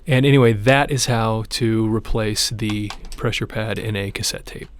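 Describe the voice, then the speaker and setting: A man's voice: fairly low pitch, steady tone, moderate pace, slight background noise. A man presenting a hands-on repair tutorial, explaining each step in an informal, plain-spoken way. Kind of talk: casual hands-on how-to demonstration.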